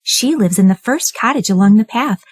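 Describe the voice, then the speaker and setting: A woman voicing a sweet, polite little girl in a storybook reading, with a high, eager voice. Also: expressive, normal speed, close to an everyday voice. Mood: cheerful.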